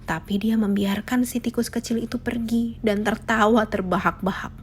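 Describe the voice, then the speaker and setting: A woman's voice: fairly high pitch, fairly even intonation, moderate pace, slight background noise. A woman narrating a scripted children's fable as a storyteller.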